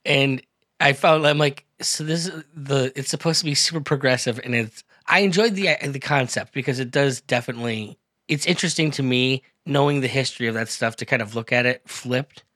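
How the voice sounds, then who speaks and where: Mid-pitched man, dry close sound. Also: fairly quick, bright, forceful tone, no room sound. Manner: curious, thoughtful, with frequent affirmations. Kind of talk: casual podcast conversation.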